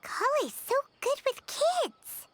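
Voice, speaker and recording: A woman voicing a small, chirpy, childlike fairy companion in a video game, exclaiming excitably in a squeaky voice. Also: takes it slowly, a little hiss or hum, full character voice. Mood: cheerful. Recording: loud music behind the voice. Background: game music.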